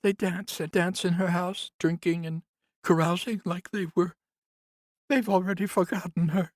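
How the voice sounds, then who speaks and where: A man's voice: high-pitched, fairly even intonation, moderate pace, no echo, clean studio sound, voice pushed up, lightly acted. A man voicing a grieving woman in her fifties, an old family nurse, in a tearful, wavering voice for an audiobook. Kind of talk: audiobook character voice.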